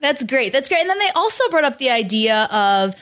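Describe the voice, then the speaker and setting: A woman's voice: high-pitched, sing-song, fairly quick, a bit bright. A woman co-hosting a recap podcast, talking wryly and laughing easily. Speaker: podcast co-host. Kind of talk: casual recap podcast chat.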